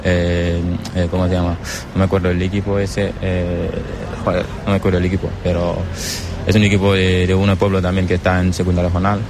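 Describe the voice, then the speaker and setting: A man's voice: sits low, noisy background. A young man from Senegal, a footballer in a casual interview, speaking hesitantly and searching for words. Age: young adult. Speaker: footballer guest.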